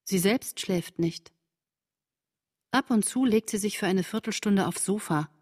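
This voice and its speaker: A woman's voice: mid-range voice, unhurried, darkish tone, natural voice. An actress playing a shaken woman writing her diary in a literary radio drama, speaking intensely in terse fragments. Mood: subdued, watchful.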